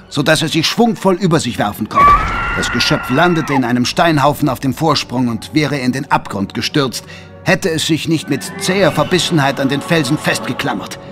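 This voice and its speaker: A man narrating an audio drama in a dramatic, scripted storytelling style. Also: a little high. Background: dramatic music.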